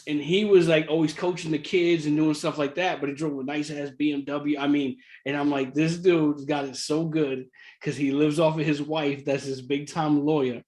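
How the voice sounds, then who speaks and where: A middle-aged man telling a personal story casually, in an animated way, repeating phrases for emphasis. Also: fairly high pitch, moderate pace. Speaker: real estate investor sharing his story.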